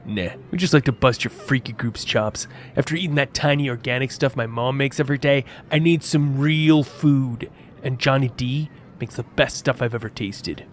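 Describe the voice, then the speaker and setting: A man's voice: mid-range voice, normal speed, lightly acted. Man playing a sneering rich teen bully, noisy ambience. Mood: brusque. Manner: sneering, blunt, dismissive. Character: snobbish rich teenage bully, bored and mocking. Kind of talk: audio drama dialogue. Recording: clear background noise, outdoor ambience.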